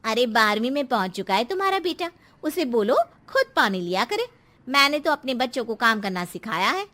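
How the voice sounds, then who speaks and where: A woman as a frank, high-voiced neighbour woman. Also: big pitch swings, brisk, bright, forceful tone. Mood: blunt advising. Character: frank, chatty married neighbour woman, practical friend.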